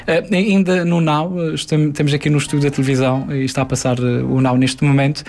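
Young male TV presenter, Azorean accent, earnest, a bit high, studio chat. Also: level tone, fast, a little mellow, a little hiss or hum. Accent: São Miguel Azorean Portuguese. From Azores, Portugal. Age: 20s. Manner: earnest, reflective, with frequent hesitations. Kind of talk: casual interview chat.

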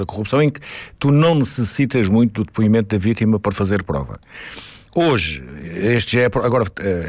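A man's voice: on the low side, a little mellow, no room sound. Portuguese man explaining on radio, dry. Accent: European Portuguese. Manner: measured, many restarts and fillers. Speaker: crime commentator.

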